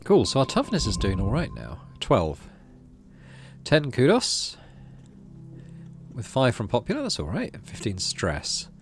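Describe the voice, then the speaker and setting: A man's voice: mid-range voice, wildly swooping pitch, unhurried, pauses often, soft background music. A man giving casual gameplay commentary, often muttering to himself as he plays. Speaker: gaming host. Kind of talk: casual gameplay commentary mixed with reading game text aloud.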